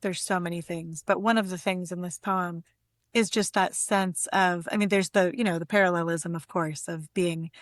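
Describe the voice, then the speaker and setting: A woman's voice: fairly low pitch, fairly even intonation, normal speed, dry recording, studio quality. A woman speaking as a guest poet and editor in a literary conversation. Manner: effusive, conversational. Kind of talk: casual literary conversation with poem reading.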